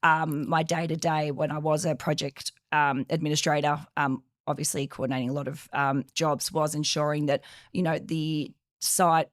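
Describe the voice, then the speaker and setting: A woman's voice: low-pitched, level tone, moderate pace, few pauses, no room sound, clean studio sound. A young woman being interviewed as a guest, answering hesitantly with many fillers while losing her voice. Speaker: project coordinator guest.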